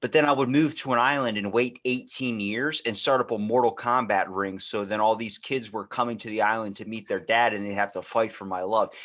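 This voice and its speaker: A man with an average-pitched voice hosts a conversational podcast. He talks informally and slangily, in the manner of easy chat. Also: a bit bright. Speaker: podcast host.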